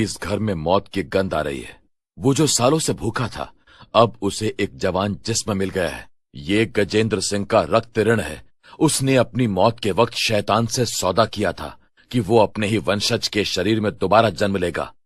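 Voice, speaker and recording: A man's voice: fairly low pitch, animated, measured pace, no room sound, studio quality. A man playing a solemn occult holy man who gives ominous, urgent warnings in a horror audio story.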